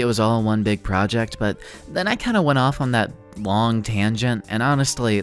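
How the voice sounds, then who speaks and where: A man giving a casual video essay commentary, sardonic and self-deprecating, with informal wording. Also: mid-range voice. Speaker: online video essayist, host of the channel. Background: background music.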